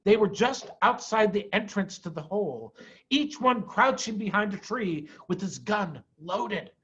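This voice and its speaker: Animated man reading a storybook. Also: high-pitched, a little slow, breathy edge, lo-fi sound. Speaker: head of school reading to pupils. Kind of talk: expressive children's storybook narration.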